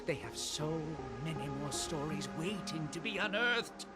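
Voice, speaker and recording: Earnest film director, highish and expressive, game music behind. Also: male voice, unhurried. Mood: passionate. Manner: earnest, proud, dramatic. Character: defiant passionate film director man. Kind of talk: video game voice acting. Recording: game audio with clear music.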